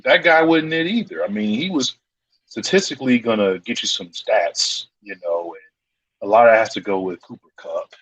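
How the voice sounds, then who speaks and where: A man's voice: on the low side, moderate pace, poor audio. A man co-hosting a sports talk show, casual and slangy, often acting out what players might be thinking. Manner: animated, slangy, acts out players' thoughts.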